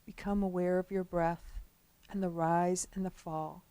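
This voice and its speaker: A woman leading a guided relaxation as a meditation instructor, speaking gently and without hurry, with long pauses between phrases. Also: on the low side, steady tone, no room sound.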